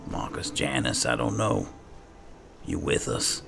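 A man giving roleplay gameplay commentary, muttering and thinking aloud in a gravelly voice. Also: a bass voice, sing-song, measured pace, over music. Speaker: gaming channel host. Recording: clear background game noise.

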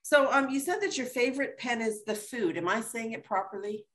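A woman's voice: a little high, brisk, fairly bright tone. A middle-aged woman from Toronto interviewing a guest over a video call, asking short friendly questions. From Toronto, Canada. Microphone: webcam on video call.

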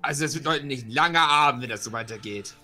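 A man's voice: medium pitch, fast, sharp tone. A man talking casually as a player in an online role-playing session. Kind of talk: casual game chat. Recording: online voice call, background music.

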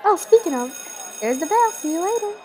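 A woman playing a friendly high school girl in an amateur animated drama. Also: fairly quick, some chatter behind, rough recording. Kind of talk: amateur voice acting for an animated mini movie.

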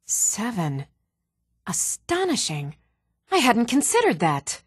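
Casting: flighty, excitable woman wizard for a fantasy game; lively intonation, muddled emphatic manner, dry recording. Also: on the high side, takes it slowly, husky, no echo, heavily acted. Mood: excited. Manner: absent-minded, emphatic, self-questioning. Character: scatterbrained, excitable woman mage absorbed in magical experiments. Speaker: video game voice actress. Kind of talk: fantasy game character dialogue.

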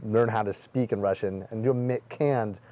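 A man's voice: on the low side, fairly even intonation, moderate pace, lo-fi sound. A middle-aged man hosting an outdoor interview, talkative and enthusiastic, sharing anecdotes between his questions. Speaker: host. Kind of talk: casual interview chat. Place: outdoor terrace with garden.

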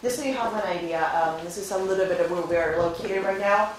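A woman's voice: mid-range voice, quick pace. Chatty woman in an echoey classroom. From born in Venezuela, raised in Spain. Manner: upbeat, chatty, asks the audience frequent questions. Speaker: recruiter presenting to students. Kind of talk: informal presentation to a class. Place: classroom with seated students. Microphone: far camera, no visible mic. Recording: very echoey classroom, faint noise.

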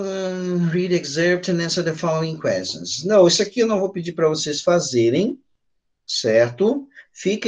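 A man's voice: fairly high pitch, a little hiss or hum. A man in his forties with a Brazilian accent, an English teacher patiently explaining step by step on a video call, often adding little checking tags. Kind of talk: online lesson, explaining and switching between Portuguese and English. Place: small room at home or study with bookshelves. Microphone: computer mic on a video call.

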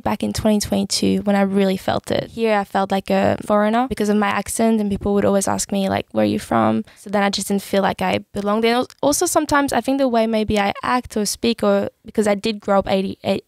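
A woman's voice: medium pitch, steady tone, a little fast, gravelly, a little mellow, no echo. A young woman from Switzerland with a Swiss French accent, chatting as a guest on a podcast, laughing often and trailing off with "yeah". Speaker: guest singer-songwriter. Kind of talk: casual podcast chat.

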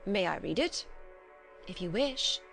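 Modest young Victorian lady as a woman narrator plays her, demure, well-mannered, gentle, storybook reading with music behind. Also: medium pitch, animated, a little slow, gravelly.

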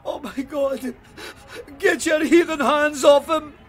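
A man's voice: extremely high pitch, steady tone, slow pace. A man playing an outraged, stubborn, pious police sergeant in an audio drama, speaking formally and emphatically. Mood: horrified. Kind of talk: full-cast audio drama acting.

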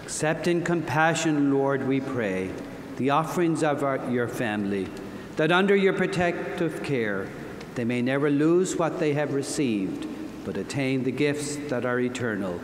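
Older male celebrant, measured solemn delivery, mid pitch, roomy reverb with faint hiss. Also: expressive. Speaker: presiding bishop. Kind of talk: liturgical prayer at Mass. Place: large convention hall stage. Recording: echoey hall, faint noise.

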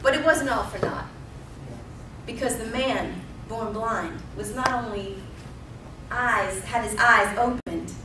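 Bright-voiced woman preaching in a roomy church. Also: fairly high pitch, slow pace, distant mic, noisy background. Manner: expressive storytelling with emphatic repetition. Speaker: pastor. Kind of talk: sermon. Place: church sanctuary. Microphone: pulpit mic at a distance. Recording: roomy church sound from off-mic.

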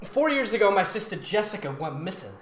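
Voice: medium pitch, very animated, brisk. A fan fiction reader doing a comic, theatrical read-aloud, with mocking asides and frequent laughter. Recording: roomy echo with faint background noise.

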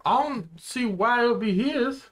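A young American man giving casual, slangy reactions in a relaxed chat. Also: very high-pitched, measured pace. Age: young adult. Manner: slangy, informal. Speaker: anime reaction host. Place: small indoor room, seated.

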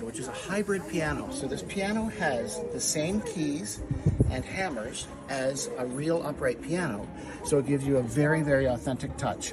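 A man representing a piano maker, explaining a product in a smooth sales pitch at a convention booth. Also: distant mic. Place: convention exhibit hall.

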